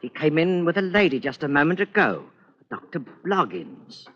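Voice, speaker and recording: An actor playing a polite, obsequious and slightly fussy law clerk in a vintage radio thriller. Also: male voice, fairly high pitch, very animated, normal speed, highs cut off. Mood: deferential. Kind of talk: 1940s radio thriller acting.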